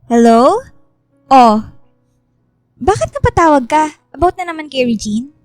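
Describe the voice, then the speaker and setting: A snobbish young schemer in a radio drama. Her voice is high and dry, with music faintly underneath. She is haughty and taunting, dropping English into her speech. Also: lively intonation, a little slow, no echo. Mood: cold, scheming. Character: snobbish, scheming young woman, a mean two-faced best friend.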